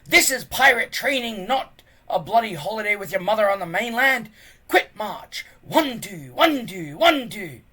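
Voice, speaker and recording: Someone reading a storybook aloud, voicing a loud, bossy Viking teacher who bellows drill orders. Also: mid-range voice, big pitch swings, a little slow, breathy edge, voice pushed up, heavily acted. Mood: bellowing, impatient. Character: Gobber, loud bossy Viking pirate-training teacher, grown man.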